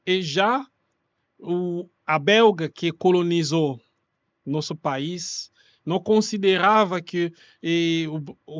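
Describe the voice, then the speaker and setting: A man's voice: on the high side, no echo, dull audio. A man from Kinshasa with a Congolese accent, a native speaker of Congolese languages, explaining in non-native, loosely grammatical Portuguese as an interview guest. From Kinshasa, Democratic Republic of the Congo. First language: Congolese languages such as Lingala. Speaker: interview guest, refugee rights activist.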